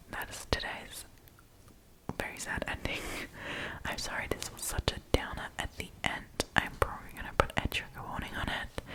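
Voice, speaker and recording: A woman hosts an ASMR storytime entirely in a breathy whisper. She talks in a casual, conversational way, freely sharing her opinions as she goes. The delivery stays unvoiced and informal. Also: low-quality recording. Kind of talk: whispered ASMR, casual chatty commentary on stories.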